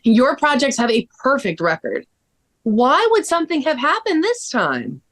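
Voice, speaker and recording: A woman reading the part of a sympathetic, concerned friend in a drawn-out, expressive way at a table read. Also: sits high, heavily acted. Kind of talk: table read of a scripted story.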